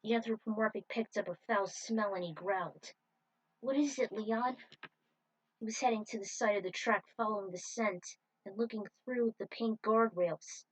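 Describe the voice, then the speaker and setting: A woman narrates a fan-made racing story in a steady, mid-pitched voice. Her reading is even and unhurried. After the story, she chats casually about it. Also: low-quality recording. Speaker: fan fiction reader.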